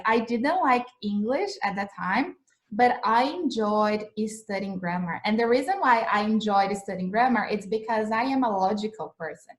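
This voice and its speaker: Chatty woman teaching English. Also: mid-range voice. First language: Portuguese. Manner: chatty, animated, gestures a lot while explaining. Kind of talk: live-streamed English lesson. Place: small indoor room, webcam in front of a plain wall. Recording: echoey room sound.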